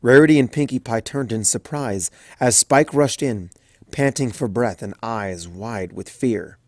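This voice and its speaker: A narrator reading a comic fan story aloud, with expressive storytelling, recorded at home on a close mic. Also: mid-range voice, measured pace, no echo. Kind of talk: audiobook fanfic reading.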